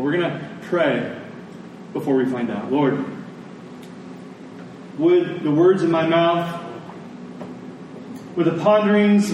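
A very reverberant room carries this American man's voice, picked up at some distance with faint noise behind it. He is a pastor giving a sermon in a conversational manner, breaking his thoughts into short, deliberate phrases. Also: on the high side, darkish tone. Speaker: pastor preaching. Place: large church meeting room with congregation. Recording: echoey hall, distant mic, faint noise.

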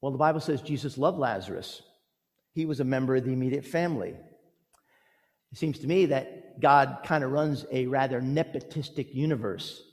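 Theatrical male preacher in a reverberant hall. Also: medium pitch. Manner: theatrical, emphatic delivery with dramatic pauses and swings between loud and hushed. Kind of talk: dramatic storytelling sermon. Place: large reverberant church or hall. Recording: echoey hall with long reverb.

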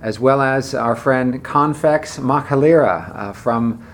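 A man hosting a church podcast, interviewing a guest in a friendly, halting way with frequent fillers. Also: medium pitch, a little hiss or hum. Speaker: podcast host. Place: Charleston, South Carolina church.

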